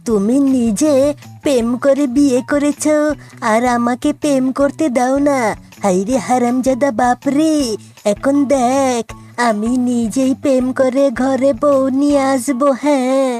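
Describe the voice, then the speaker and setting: Cheeky high-voiced cartoon son, played by a woman. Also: steady tone, unhurried, dark tone. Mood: cheeky, aggrieved. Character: cheeky, aggrieved young son in a fox cartoon. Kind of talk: cartoon dialogue dubbing. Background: music.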